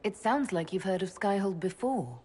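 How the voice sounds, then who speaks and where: An actress voicing an elven leader in a video game, speaking plainly and directly. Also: female voice, medium pitch, expressive, normal speed, mellow, slightly rough. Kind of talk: video game cutscene dialogue.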